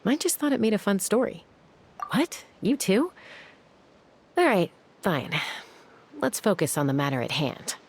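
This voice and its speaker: A woman's voice: mid-range voice, sing-song, measured pace, pauses often, slight background noise. A woman voicing a confident, laid-back young woman in a video game, talking in a casual, wry way. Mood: wry, relaxed. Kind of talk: video game voice acting, conversational.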